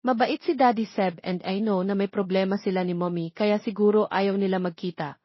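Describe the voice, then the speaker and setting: A woman's voice: mid-range voice, fairly even intonation, brisk, no echo, muffled recording, close to an everyday voice. A woman voicing an earnest, polite little twin boy in an audio story, pleading in a childlike way. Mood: hushed, hopeful.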